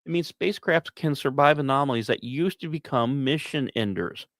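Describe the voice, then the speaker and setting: A man's voice: mid-range voice, normal speed, a bit bright, dry recording, clean studio sound. A man hosting a podcast, reading scripted science news commentary with emphatic, dramatic phrasing and short punchy repeats, dropping in personal asides. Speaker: podcast host.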